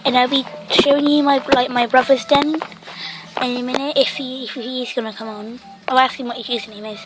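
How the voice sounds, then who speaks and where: A woman hosting a casual game commentary, rambling with lots of fillers and a croaky voice from a cough. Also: high voice, flat delivery, brisk, noisy background. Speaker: gaming channel host.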